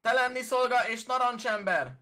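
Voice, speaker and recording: A woman's voice: a little high, very noisy, lightly acted. A woman voicing a primitive, grabby caveman tribe chief who speaks in broken grammar during a gaming roleplay. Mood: bossy. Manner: broken grammar, verbs left unconjugated.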